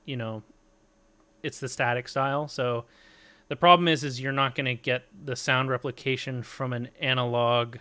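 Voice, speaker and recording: A man's voice: mid-range voice, steady tone, moderate pace, bright, forceful tone, clear, resonant tone. A man in casual stream chat, explaining his equipment at length with lots of fillers and casual swearing. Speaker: streamer talking gear.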